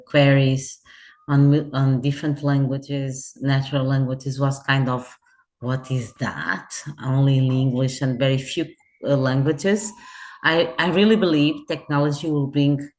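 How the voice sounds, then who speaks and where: A woman's voice: a bass voice, darkish tone. A middle-aged woman with a Portuguese accent, answering interview questions thoughtfully, often restarting phrases as she searches for words. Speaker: guest, head of customer services. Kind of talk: remote interview.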